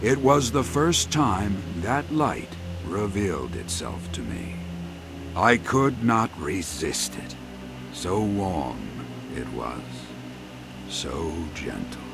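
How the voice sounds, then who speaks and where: Deep, slow, brooding fantasy man. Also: sing-song, vocal fry, music in the background, heavily acted. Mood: wistful, solemn. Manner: solemn, measured, dramatic. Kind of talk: video game cutscene acting.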